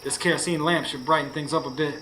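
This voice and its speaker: Urgent high-pitched folksy man, horror radio play. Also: steady tone, fast, bright, forceful tone, poor audio. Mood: determined. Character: anxious, determined Southern husband narrating a ghost hunt. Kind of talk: live radio drama acting and first-person narration. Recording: live stage recording, clear background noise.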